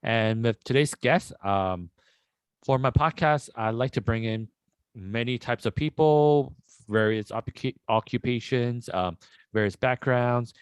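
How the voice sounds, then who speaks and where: A man hosting a casual podcast interview, rambling and relaxed with many fillers. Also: mid-range voice, unhurried, clear, resonant tone, no room sound. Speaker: podcast host.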